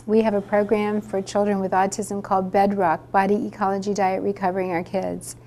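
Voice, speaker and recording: A woman who is an author and runs a diet program, explaining it to camera in an even, measured way. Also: mid-range voice, slight background noise.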